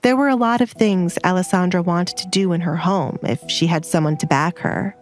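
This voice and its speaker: A woman reads scripted story narration. Her voice is on the low side, and music plays behind her. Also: moderate pace.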